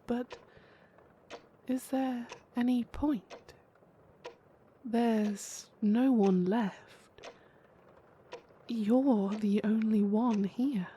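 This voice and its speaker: An actress playing a calm, kindly, mysterious stranger who brings death, speaking softly and gently in an audio drama. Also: female voice, fairly high pitch, slight background noise. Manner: soft, measured, gentle. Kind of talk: audio drama character acting.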